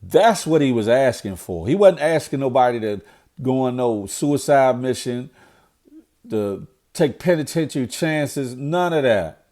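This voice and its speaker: Middle aged guy stuffed up from a cold, low warm tone, preachy blunt vlog rant. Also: expressive, a little slow. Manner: blunt, preachy, repeats himself when fired up. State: nasal from a cold. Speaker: vlogger commenting on current events. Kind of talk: casual commentary monologue.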